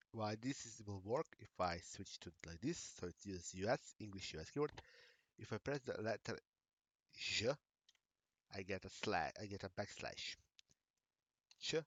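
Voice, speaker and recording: Low-voiced Slovenian man, halting delivery. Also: no echo. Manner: hesitant, halting, self-correcting. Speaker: tech streamer. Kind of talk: live screen-share tutorial.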